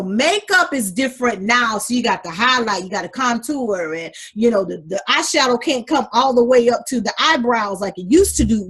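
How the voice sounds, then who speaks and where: A sassy, animated woman with a husky, breathy voice talks in a relaxed, chatty way, sitting somewhat high for a woman. She calls her listeners honey and leans into her points with flair. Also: rough recording. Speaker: podcast co-host. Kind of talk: casual chat.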